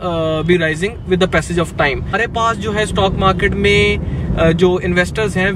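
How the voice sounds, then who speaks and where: A young man with a Pakistani accent explaining the stock market rapidly, with many fillers, while riding in a car at night. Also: high-pitched. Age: young adult. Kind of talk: casual explanation mixing English and Urdu. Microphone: camera held by the driver. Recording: noisy car from a distance.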